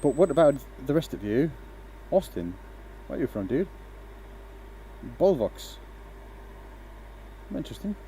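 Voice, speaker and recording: A man's voice: fairly high pitch, big pitch swings, unhurried, long pauses, warm tone, a little hiss or hum, poor audio. A man from Denmark, by birth, hosting a woodturning livestream and chatting loosely with viewers as he works, with frequent fillers and restarts. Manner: chatty, hesitant, talks to viewers while working. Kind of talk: casual livestream chat while working. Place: home workshop with a lathe.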